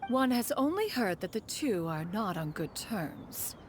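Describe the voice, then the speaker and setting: An actress playing a proud, fussy immortal master in a video game, speaking in formal, archaic, imperious diction. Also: female voice, mid-range voice, very animated, unhurried, heavy background noise. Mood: concerned, resolute.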